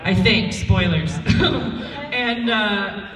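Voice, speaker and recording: A woman on a convention panel, excitable and mock-argumentative, telling comic stories with swearing, recorded in an echoey hall from far off. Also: medium pitch, extremely slow. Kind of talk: casual comic storytelling and banter. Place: large convention panel room.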